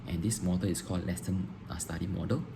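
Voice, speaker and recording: A man, a teacher answering interview questions on video, pausing often with ums and restarting phrases. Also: deep voice, steady tone, vocal fry. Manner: hesitant, frequent ums and restarts. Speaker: teacher applying for a job. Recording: noisy background hiss.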